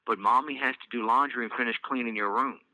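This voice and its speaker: A man voicing a young mother, soft and measured with a stern edge. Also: medium pitch, fairly even intonation, no echo, rough recording. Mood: patient.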